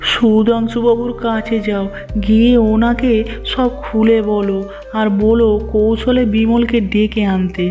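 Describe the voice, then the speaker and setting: A man's voice: extremely high pitch, flat delivery, a little slow, mellow, soft background music. A man playing an alarmed young village neighbour, urgent and melodramatic, in a fairy-tale cartoon.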